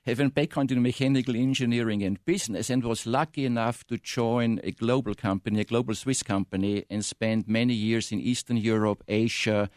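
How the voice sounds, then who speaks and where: A middle-aged man with an Austrian accent, a native German speaker, giving earnest, halting answers in a radio interview. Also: mid-range voice, steady tone, darkish tone, dry recording, studio quality. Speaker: interview guest, consulting firm founder. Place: radio studio.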